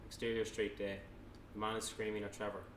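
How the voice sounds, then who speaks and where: Man, scripted narration, matter-of-fact, monotone, distant mic, echoey noisy room. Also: lowish voice, fairly quick. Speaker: narrator reading stage directions at a table read. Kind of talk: scripted narration, read aloud from a screenplay. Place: indoor room, table read.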